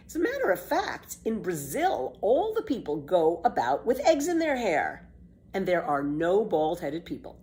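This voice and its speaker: A woman's voice: fairly low pitch, heavily acted. A woman voicing a bold, boastful little girl in a storybook, with theatrical, emphatic, carefree delivery. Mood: excited. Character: bold, boastful, exuberant little girl telling tall tales. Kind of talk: children's storybook read-aloud.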